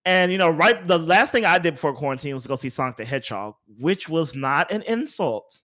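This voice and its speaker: A man's voice: fairly high pitch, lively intonation, fairly quick, fairly bright tone. A man hosting a podcast, chatting casually about movies in an informal, emphatic way. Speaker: podcast host.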